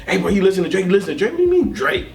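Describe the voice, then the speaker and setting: A man's voice: high-pitched, very animated, rapid-fire, creaky. An American man from the South chatting casually on a music review panel, emphatic and repetitive, with slangy, sweary speech. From southern United States, Florida.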